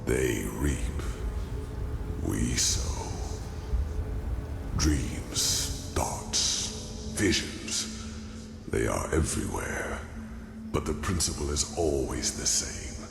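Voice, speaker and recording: A man playing an ancient, weary sage in a fantasy game, speaking gravely and deliberately in a gravelly voice. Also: extremely low pitch, animated, full character voice. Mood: grave, resigned. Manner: grave, slow, deliberate, brooding. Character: ancient weary male sage revealing bleak cosmic truths. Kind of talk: solemn dramatic game monologue. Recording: echoey voice over clear background music.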